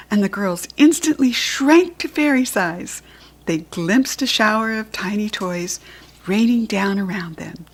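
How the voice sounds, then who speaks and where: A woman reading a children's storybook aloud, clearly and expressively. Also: a little high. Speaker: storybook reader.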